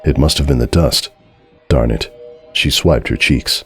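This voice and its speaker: Casting: male narrator, profoundly deep, solemn dramatic storyteller, faint music underneath. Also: a little slow, fairly bright tone, husky. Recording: music behind the voice.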